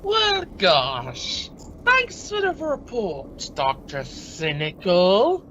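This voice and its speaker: Man playing a sarcastic, bright-voiced astronaut. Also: high voice, very slow, exaggerated voice. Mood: alarmed sarcasm. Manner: sarcastic, exasperated, animated. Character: excitable, sarcastic astronaut crew member Lee. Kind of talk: amateur radio play acting. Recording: dull, noisy home-made radio play recording.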